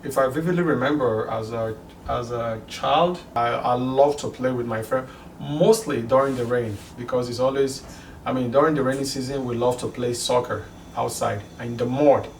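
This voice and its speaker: A man's voice: mid-range voice, fairly even intonation, far from the mic, slight background noise. Nigerian man, roomy off-mic sound. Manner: halting, many restarts and fillers. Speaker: interviewee. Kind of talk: documentary interview. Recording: roomy, off-mic with music underneath.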